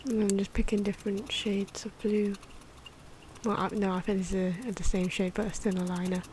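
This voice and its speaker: A soft-spoken, sometimes whispery woman giving unscripted, musing ASMR commentary as she draws, correcting herself as she goes. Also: medium pitch, level tone, normal speed, a little hiss or hum. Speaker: ASMR artist narrating own drawing. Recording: clock and pen noises behind. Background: ticking clock, pen scratching.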